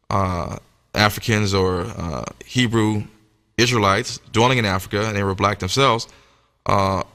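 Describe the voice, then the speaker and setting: A man's voice rings through a long reverb, fairly low in pitch. He delivers a religious lecture with a bright, forceful edge. His manner is emphatic and instructive, like a teacher of scripture. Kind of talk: sermon-like lecture with scripture reading. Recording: echoey hall with long reverb.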